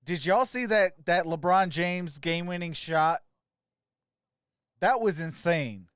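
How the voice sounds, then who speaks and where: A man's voice: sits high, takes it slowly, fairly bright tone, clean tone, no echo, clean studio sound. A man hosting a sports livestream, mixing casual, friendly chat with viewers and informal play-by-play commentary. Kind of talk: casual live stream chat and game commentary.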